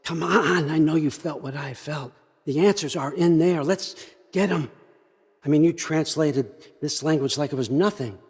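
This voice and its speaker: A man's voice: on the high side, moderate pace, husky. An older American man acting in a video game as a scheming, controlling old father god, wry, folksy and conversational as he manipulates. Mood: persuasive.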